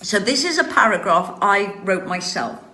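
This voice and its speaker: A woman's voice: medium pitch, expressive, normal speed, sharp tone, reverberant. A woman hosts a casual chat from home, answering viewer questions. She speaks with carefully trained, precise articulation. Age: 60s. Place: home room.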